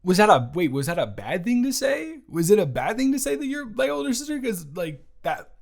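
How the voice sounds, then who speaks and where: Man acting a halting, earnest young guy friend. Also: sits high, big pitch swings, creaky, full character voice. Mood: flustered. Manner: halting, stammering, earnest, restarting phrases. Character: protective young man, smitten friend, sincere and flustered. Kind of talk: improvised intimate audio roleplay addressed to the listener.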